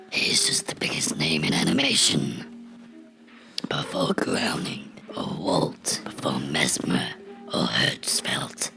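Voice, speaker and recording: A man playing a vengeful cartoon gnome come to life, menacing and mocking in a breathy, gravelly whisper for a horror ASMR role-play. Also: rumbling low, full character voice. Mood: ominous storytelling. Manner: slow, deliberate, sinisterly playful, taunting.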